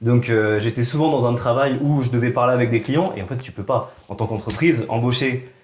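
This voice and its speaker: Fast-talking man in casual chat. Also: mid-range voice, echoey room, a little hiss or hum. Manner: fast, relaxed storytelling with many fillers. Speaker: podcast co-host.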